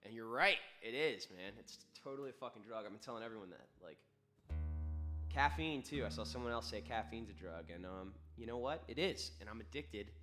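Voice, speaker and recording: A man who is a singer-songwriter, chatting casually and rambling between songs on a livestream, laid-back and profane with sudden quick bursts. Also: lowish voice, expressive, bright, forceful tone, soft background music.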